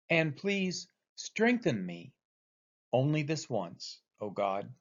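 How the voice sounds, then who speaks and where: A man reading scripture aloud as expressive narration, with deliberate, dramatic emphasis on quoted speech. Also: medium pitch, lo-fi sound. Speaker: scripture reader.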